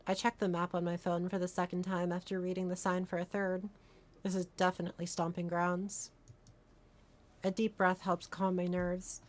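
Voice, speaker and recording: A woman's voice: lowish voice, flat delivery, normal speed, natural voice. A woman voicing a nervous, wry first-person protagonist in a visual novel, over music. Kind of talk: visual novel voice acting and narration.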